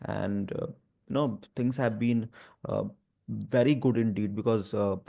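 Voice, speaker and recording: A man with an Indian accent and a stammer, speaking haltingly and earnestly as an advocate sharing personal experience. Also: lowish voice, fairly even intonation, gravelly, dark tone. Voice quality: stammer, with repeated sounds and blocks. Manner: hesitant, halting, earnest phrasing. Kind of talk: personal talk about lived experience, conversational.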